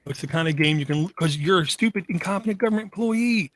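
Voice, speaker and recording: A man with a fairly high voice co-hosting a podcast. He chats casually with a wry, sarcastic streak. The sound is close and dry, with no room echo. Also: fast, fairly bright tone. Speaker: podcast co-host. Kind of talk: casual chat.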